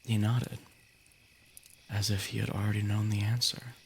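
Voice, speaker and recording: Creaky-voiced man reading stories on stream. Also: fairly low pitch, flat delivery, normal speed, a little hiss or hum. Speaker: livestream host reading stories. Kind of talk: casual story reading on a livestream.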